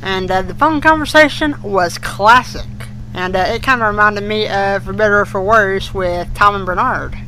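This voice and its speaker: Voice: mid-range voice, normal speed, hardly any pauses, sharp tone, rough recording. A young podcast co-host chatting casually and giving opinions in an informal discussion. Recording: faint background noise.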